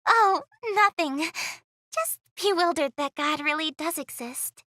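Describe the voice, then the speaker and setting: Very high, bright naive adventurer girl. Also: unhurried, no echo, clean studio sound. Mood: puzzled, polite. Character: naive, earnest amnesiac young adventurer girl in a game. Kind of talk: anime game voice acting.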